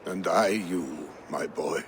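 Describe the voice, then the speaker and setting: A man voicing a solemn, fatherly old Viking resigned to death, grave and deliberate, in a dramatic video game. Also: low-pitched, noisy background. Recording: prominent music behind the voice.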